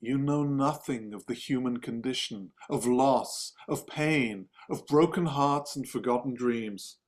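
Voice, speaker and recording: A man's voice: medium pitch, measured pace, lightly acted. A man playing a stern, dismissive office boss with a curt, condescending manner in a dramatic table read. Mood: scolding.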